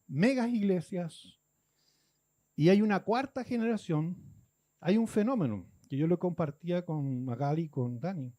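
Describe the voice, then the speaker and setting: A man's voice: high-pitched, warm tone. A man from Guatemala, preaching a conversational sermon and acting out churchgoers' complaints.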